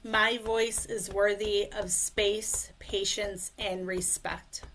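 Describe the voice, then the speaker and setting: A woman speech coach leading a guided tapping session, speaking slowly and calmly in short phrases for a client to repeat, over a video call. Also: mid-range voice, a little hiss or hum, low-quality recording. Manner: slow, calm, leads phrases for repetition.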